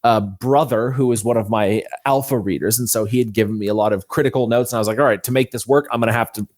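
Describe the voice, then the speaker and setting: A man's voice: medium pitch, fast talker, darkish tone. A man speaking as an interview guest, telling his own story casually and candidly, acting out quoted thoughts as he explains. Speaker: guest author.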